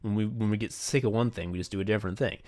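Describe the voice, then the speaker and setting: A man's voice: lowish voice, very fast, a little mellow. A man co-hosting a podcast in a casual, chatty style, swearing freely. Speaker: podcast co-host. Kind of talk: casual behind-the-scenes podcast chat.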